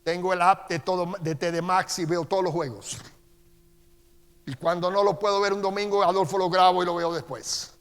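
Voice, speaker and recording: High-voiced Costa Rican pastor preaching. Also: male voice, steady tone, clean tone. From Costa Rica. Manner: preacher's cadence, slow emphatic Scripture reading and quieter personal storytelling. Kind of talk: sermon. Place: church sanctuary. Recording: long church-hall reverb.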